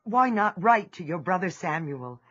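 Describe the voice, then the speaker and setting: An actress playing a gentle, encouraging mother in an audio drama. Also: lowish voice, very animated, normal speed. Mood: caring. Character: caring middle-aged mother, gentle and supportive. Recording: dull lo-fi audio with music.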